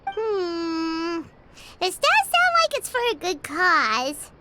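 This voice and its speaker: A woman voicing a small, excitable, outspoken fairy companion in a video game, with a squeaky childlike voice and an emphatic manner. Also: takes it slowly, heavy background noise, full character voice. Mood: righteous. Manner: speaks of self in third person, emphatic. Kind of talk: video game character dialogue. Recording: loud music under the voice. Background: game background music.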